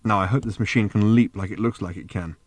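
A man voicing a brash, fast-talking roguish space traveller in an audiobook, breezy, bossy and sardonic. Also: fairly low pitch, no room sound, close to an everyday voice. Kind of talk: acted audiobook dialogue.

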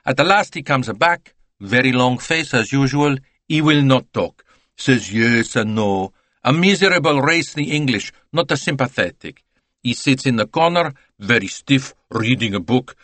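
A man putting on a garrulous salesman, his voice pitched well above the reader's own and bouncing with excitable chatter. He speaks, full of broken phrases and scorn, in a dry, close recording. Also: normal speed, no room sound, lightly acted. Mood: chatty. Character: chatty Italian male salesman, talkative, scornful.

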